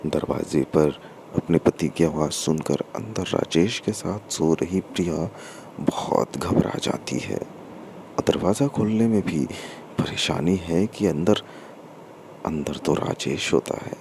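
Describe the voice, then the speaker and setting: A man narrating a scripted story in a halting way, in short broken phrases. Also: extremely low pitch, gravelly, darkish tone. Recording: noticeable background noise.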